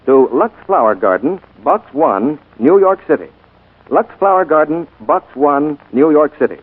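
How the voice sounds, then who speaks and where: 40s radio ad announcer guy, animated and emphatic, dry tinny recording. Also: on the high side, a little slow, husky, no echo. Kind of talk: 1940s scripted radio advertising.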